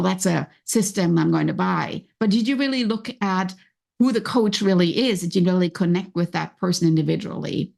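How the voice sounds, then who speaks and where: A woman's voice: medium pitch, vocal fry, darkish tone. Encouraging German-accented woman podcaster. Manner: encouraging, conversational, acts out inner thoughts. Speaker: podcast host and coach. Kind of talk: solo motivational podcast talk.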